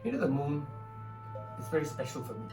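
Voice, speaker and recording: A woman's voice: sits low, expressive, brisk, music in the background. A woman presents stories to children. She chats inquisitively, prompting with questions. The sound is echoey and a bit distant from the microphone. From Singapore. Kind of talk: interactive children's storytelling chat. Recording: echoey room, distant mic, faint noise.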